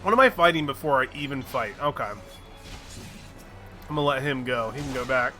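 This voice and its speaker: A man's voice: mid-range voice, fairly quick, bright, forceful tone, soft background music. A man streaming a fantasy game, chatting casually, joking, swearing and reading quest lines aloud. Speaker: gaming streamer. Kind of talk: casual gameplay commentary.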